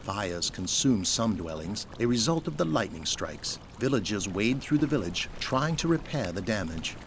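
A man narrating a scripted audio drama in a measured, descriptive storytelling manner. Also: fairly low pitch, sing-song, background noise. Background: music.

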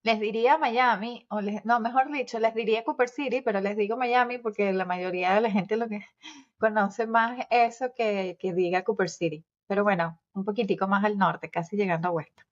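A Venezuelan woman in her forties co-hosting a podcast, chatting casually and warmly with fillers and restarts. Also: medium pitch, normal speed, muffled recording. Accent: Venezuelan Spanish. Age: 40s. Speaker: podcast co-host. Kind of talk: casual chat.

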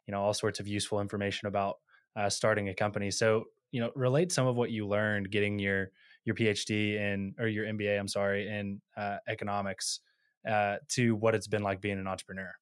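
A man's voice: fairly low pitch, level tone, brisk, smooth, no room sound, studio quality. A man hosting an interview, asking questions in a friendly, chatty way. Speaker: podcast host. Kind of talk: conversational interview.

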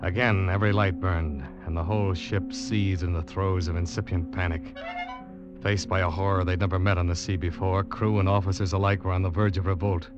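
An actor playing a grim ship's officer who narrates a suspense story in tense, deliberate old-time radio style. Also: male voice, low-pitched, lightly acted. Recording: dull vintage radio recording with loud music.

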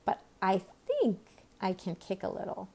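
An earnest young girl from a storybook, played by a woman, musing aloud to herself. She is childlike and quick to scold herself. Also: mid-range voice, huge pitch swings, vocal fry, a little mellow, heavily acted. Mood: wondering. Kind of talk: storybook character voice.

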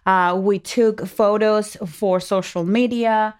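A woman's voice: mid-range voice, fairly even intonation, takes it slowly, darkish tone, clean tone. A woman with a Spanish-speaking accent, a native Spanish speaker, co-hosting a casual podcast in a playful, earnest way. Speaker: podcast co-host, marketing expert.

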